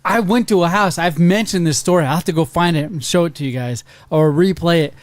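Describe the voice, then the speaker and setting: A man in his thirties with an American accent, a podcast host telling a profane, animated story in casual chat. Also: fairly high pitch, brisk, dry recording. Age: 30s. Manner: profane, animated storyteller who acts out quoted voices.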